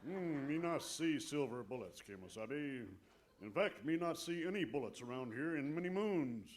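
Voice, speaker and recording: A man's voice: on the high side, expressive, moderate pace, lightly acted. A man playing a dry, grumbling Native sidekick in an old-time radio comedy, speaking terse broken English. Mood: grumbling deadpan. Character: Tonto, grumbling dry-humored Native sidekick to a cowboy hero. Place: convention stage performance. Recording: noisy live convention stage recording.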